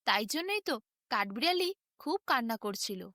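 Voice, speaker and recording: Woman voicing a timid, high-pitched little squirrel. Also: sing-song, moderate pace, bright, forceful tone, dry recording, clean studio sound. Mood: sorrowful. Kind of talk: storybook animal character voice.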